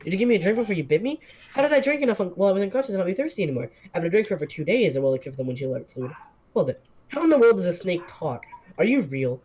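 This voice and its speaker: A man doing a parched, croaking stranded man. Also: fairly high pitch, a little mellow, a little hiss or hum. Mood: bewildered. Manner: croaking, hurried, confused questions.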